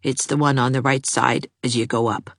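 A woman voicing a very old, slightly deaf country aunt who talks slowly and flatly in rustic dialect. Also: extremely low pitch, dry recording, clean studio sound. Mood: stolid. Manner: slow, flat, rustic dialect.

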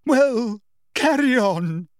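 A man voicing a theatrical, wounded female divination teacher in a high, wavering, emotional voice from a storybook. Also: darkish tone, dry recording, studio quality. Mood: hysterical. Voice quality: high-pitched, wavering, tremulous. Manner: drawn-out, dramatic, throbbing with emotion.

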